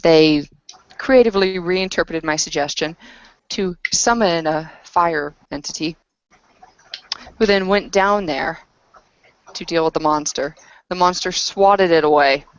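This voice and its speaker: A solemn female werewolf warrior played by a woman in a roleplaying game speaks in a low voice. She recounts battles deliberately, like someone back from exile. The recording is dull and roomy. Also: clear, resonant tone, natural voice. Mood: solemn, proud.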